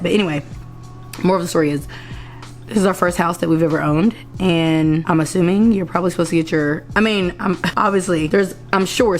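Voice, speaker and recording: Hoarse woman chatting while doing makeup. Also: lowish voice. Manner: rambling, self-correcting, chatty. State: hoarse after a concert. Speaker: vlogger. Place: indoors at home.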